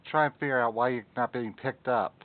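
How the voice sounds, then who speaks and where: A man hosts a casual live stream from a home desk setup, muttering and swearing as he wrestles with his audio. His sound is narrow and phone-like, and his pitch sits in an ordinary middle range. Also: steady tone, a little fast. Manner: muttering, swears at technical trouble. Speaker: stream host. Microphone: desktop mic.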